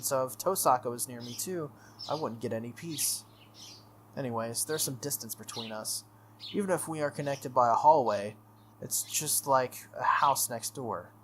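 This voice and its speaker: A man hosting a game playthrough, reading a visual novel aloud in an animated way with casual asides. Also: mid-range voice, a little hiss or hum. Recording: music playing behind the voice. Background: game music.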